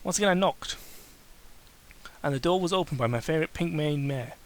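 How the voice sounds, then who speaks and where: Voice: mid-range voice, fairly quick. Someone giving a comedic dramatic reading of amateur fiction, mocking and exasperated, stumbling over the text and breaking off for sarcastic asides. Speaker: host reading fan fiction aloud.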